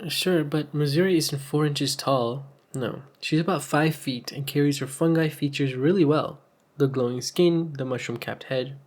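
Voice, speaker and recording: A man's voice: a little high, normal speed. A man co-narrating a scripted story in a conversational style, with a dry, bantering manner. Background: plucked guitar music.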